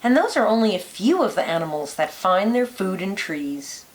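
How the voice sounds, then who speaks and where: A woman reading a children's nonfiction picture book aloud like a teacher, with deliberate, lilting emphasis. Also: mid-range voice, sing-song, a little hiss or hum, rough recording.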